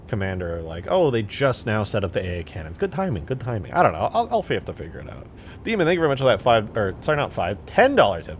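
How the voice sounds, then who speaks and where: A man's voice: lowish voice, a little hiss or hum. A man livestreaming as a game modder, chatting casually in a rambling, jokey way as he answers viewers. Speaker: live streamer and game modder.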